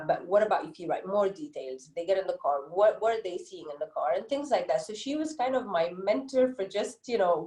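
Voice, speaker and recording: A woman's voice: medium pitch, dark tone. A woman with an Egyptian accent giving conversational interview answers as an author, telling stories in an earnest, reflective way. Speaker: interviewed children's book author. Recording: roomy, echoey sound.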